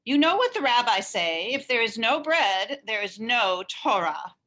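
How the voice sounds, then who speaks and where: A woman voicing a friendly, hearty male street peddler in a storybook, calling out loudly. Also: fairly high pitch, big pitch swings, a little slow, voice pushed up, heavily acted.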